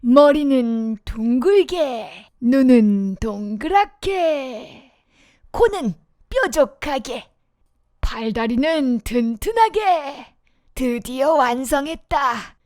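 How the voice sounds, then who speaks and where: A woman doing a gentle old puppet-maker. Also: high-pitched, animated, no echo, clean studio sound, heavily acted. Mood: tender. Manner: slow, gentle, fatherly. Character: poor kindly old man puppet-maker. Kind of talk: storybook voice acting.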